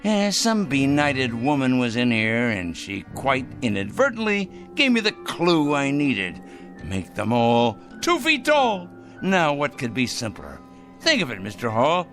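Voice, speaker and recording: An actor playing a paranoid, self-righteous crank in a radio drama, ranting in a fussy, theatrical way. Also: male voice, medium pitch, wildly swooping pitch, unhurried, a bit bright, faint music behind, exaggerated voice. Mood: gleeful scheming. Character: paranoid, self-righteous crank obsessed with punishing evil people. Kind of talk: dramatic radio play acting.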